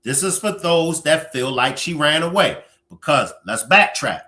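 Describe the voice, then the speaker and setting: Bright, animated man with unhurried delivery. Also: on the high side. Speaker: host reacting to clips. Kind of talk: live commentary.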